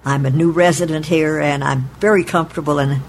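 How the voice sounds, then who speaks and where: A woman in her eighties from Oklahoma, recalling her family's past in a measured, deliberate way in her apartment. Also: low-pitched, fairly even intonation, slight background noise. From Oklahoma, United States. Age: 80s. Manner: measured, deliberate, spells out names letter by letter. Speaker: oral history interviewee. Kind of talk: recorded oral history recollection. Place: her apartment in a retirement home.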